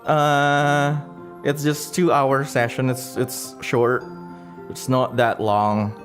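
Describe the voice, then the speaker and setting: A man hosting a livestream in casual chat, halting and rambling as he searches for words, with as his language. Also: medium pitch, level tone. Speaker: livestream host. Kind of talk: casual livestream chat, reading donations and comments. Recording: music playing behind the voice. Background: background music.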